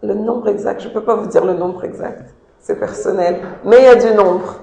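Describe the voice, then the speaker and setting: A woman's voice: medium pitch, fairly even intonation, normal speed, vocal fry, warm tone. A woman giving earnest, emphatic interview answers as the founder of a job agency, often repeating her points. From DR Congo. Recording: echoey room.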